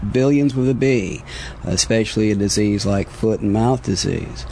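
An American man, an official being interviewed, explaining in measured, formal wording with frequent hesitant pauses, in a field recording. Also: lowish voice, darkish tone, slight background noise. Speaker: government veterinary official, interviewee.

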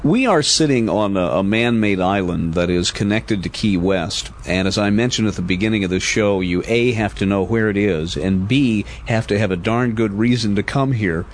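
An American man hosting a conversational radio interview, explaining things wordily and thinking aloud as he builds his questions, on a noisy recording. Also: fairly low pitch. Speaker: radio host and journalist interviewing.

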